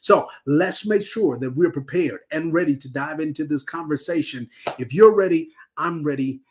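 A man's voice: fairly high pitch, moderate pace, a little mellow, a little hiss or hum. A man hosting a podcast, speaking in an earnest, emphatic way with repeated phrasing. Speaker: podcast host. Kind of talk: podcast intro and conversation.

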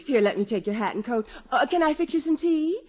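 A woman's voice: high-pitched, lively intonation, a little fast. A woman playing a genteel, gracious, fluttery lady being courted in a 1950s radio comedy. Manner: polite, refined, fluttery. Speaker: actress in a radio sitcom. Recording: dull old 1950s radio recording.

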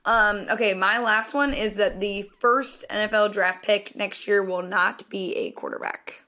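Raspy lady cohost, chatty, middle pitch, casual sports talk. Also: fairly even intonation, unhurried, pushed and bright. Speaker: podcast co-host.

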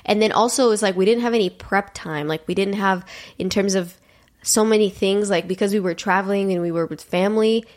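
A woman's voice: mid-range voice, a little fast, darkish tone. A young woman co-hosting a podcast from her apartment, talking casually with lots of fillers, slightly nasal from a cold. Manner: chatty, rambling, lots of fillers. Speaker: podcast co-host. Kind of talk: casual chat. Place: apartment, seated at a table.

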